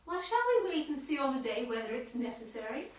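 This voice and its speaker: A prim, officious female committee chair , played by a woman, high and lively in pitch, with pointed delivery for a radio comedy. The recording is very echoey and distant. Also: brisk, a little hiss or hum. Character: prim, bossy woman chairing a tennis club meeting. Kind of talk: amateur radio play comedy acting.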